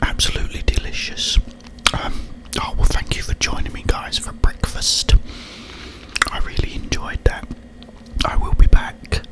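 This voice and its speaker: A man hosting an ASMR video, rambling casually in a breathy, unvoiced whisper with drawn-out phrasing. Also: poor audio.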